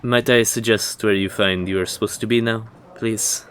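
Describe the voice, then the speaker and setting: A man playing a dry, reserved history professor at a fantasy university, lecturing in a measured, formal way for an audio drama. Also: lowish voice, steady tone, a bit bright, a little hiss or hum, lightly acted.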